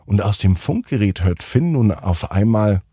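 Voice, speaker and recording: A man narrating a scripted children's bedtime story in a gentle, unhurried way, with deliberate pauses. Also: lowish voice, sing-song, breathy edge, no room sound, clean studio sound.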